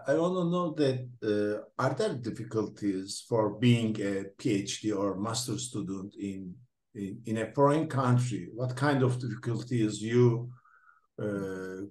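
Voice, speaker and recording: A man hosting an interview, introducing his guest slowly with many fillers and restarts, speaking English as a non-native Turkish speaker. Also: fairly low pitch, darkish tone. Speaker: interview host, lab researcher. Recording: echoey room.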